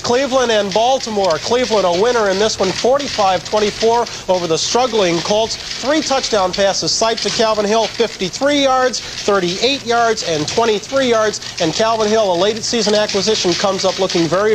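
Male studio host reading football scores. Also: high voice. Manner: brisk, scripted delivery of scores and results. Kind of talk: broadcast score roundup. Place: TV studio, in front of a scoreboard wall. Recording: noisy old broadcast tape.

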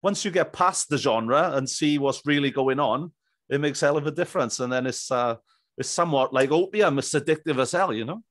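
A man's voice: on the high side, fairly quick, fairly bright tone, no room sound, studio quality. A man in his thirties chatting casually as a podcast host. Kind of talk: casual interview chat. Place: small indoor room, on video call. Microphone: studio microphone on boom arm.

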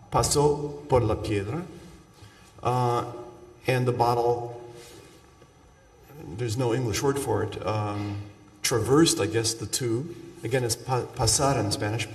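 A man giving an academic linguistics lecture, haltingly searching for words and adding explanatory asides as he talks through example sentences. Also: medium pitch. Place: large reverberant lecture room. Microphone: far from the speaker. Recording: echoey hall, distant microphone.

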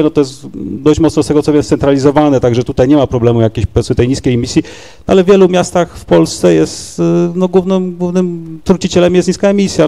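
A man speaks on a discussion panel in a gravelly, dark voice, a little higher than most men. He rambles and hesitates, repeating words and tagging questions onto his sentences. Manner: rambling, hesitant, many fillers and tag questions. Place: large university lecture hall.

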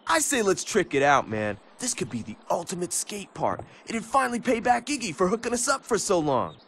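A man's voice: high voice, big pitch swings, brisk, fairly bright tone, slight background noise, full character voice. A man playing a cocky, territorial skater tough guy in a video game, with brash, slangy talk. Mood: laid-back. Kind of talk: video game cutscene acting.

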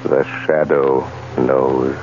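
An actor playing an eerie, commanding unseen vigilante in deliberate 1930s radio drama style. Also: male voice, extremely low pitch, level tone, darkish tone, a little hiss or hum, full character voice. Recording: muffled 1930s radio, organ behind.

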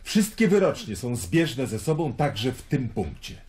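An actor in a radio drama playing a worldly Roman traveler fascinated by prophecy, speaking in a wry, eloquent storytelling manner. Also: male voice, medium pitch, sing-song, measured pace, darkish tone. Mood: earnest, persuasive.

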